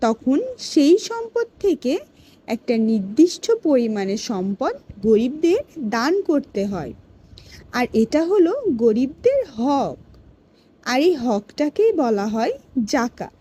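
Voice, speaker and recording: A woman playing a patient schoolteacher in a children's cartoon, giving a scripted lesson in a deliberate, instructive way. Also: fairly high pitch, big pitch swings, dark tone, slightly rough, full character voice. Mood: explaining. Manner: slow, deliberate, instructive, addressing pupils directly. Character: female schoolteacher patiently teaching Islamic basics to children.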